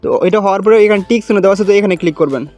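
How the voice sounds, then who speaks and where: A man's voice: high-pitched, rapid-fire, a little mellow, faint music behind. A man with a Bangladeshi accent hosting a casual step-by-step tutorial in colloquial, repetitive instructions. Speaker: tutorial video host.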